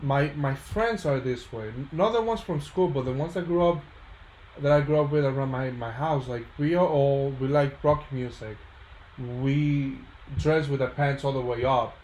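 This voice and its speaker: A man from the Dominican Republic chatting casually in an animated way, often checking in with his listeners. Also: medium pitch, normal speed. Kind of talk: casual chat. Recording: roomy echo, faint background noise.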